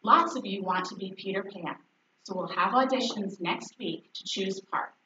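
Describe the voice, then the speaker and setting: A woman's voice: medium pitch, moderate pace. A woman reading a children's story aloud, narration and dialogue, as a teacher. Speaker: fourth grade teacher. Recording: echoey, distant, faintly noisy, dull recording.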